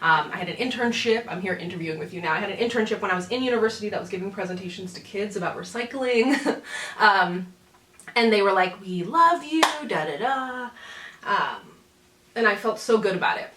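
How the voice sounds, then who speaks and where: A woman's voice: medium pitch, expressive, fairly quick, fairly bright tone. A young woman from Newfoundland, Canada, chatting casually and self-deprecatingly as she hosts a get-ready-with-me beauty vlog. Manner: informal, self-deprecating, giggly asides. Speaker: beauty vlogger, host. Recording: roomy echo, distant mic.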